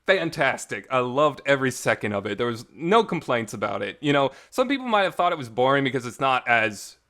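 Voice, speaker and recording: A man's voice: mid-range voice, very animated, brisk, a bit bright. A man hosting a casual talk show, giving an enthusiastic, informal and emphatic fan review with some reverb on his voice. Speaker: host of a visual novel talk show. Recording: reverberant voice recording.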